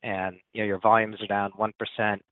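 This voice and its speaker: Halting male analyst on a dry phone line. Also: fairly low pitch, flat delivery, no room sound. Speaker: analyst asking a question. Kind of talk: conversational questioning on an earnings call. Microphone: telephone.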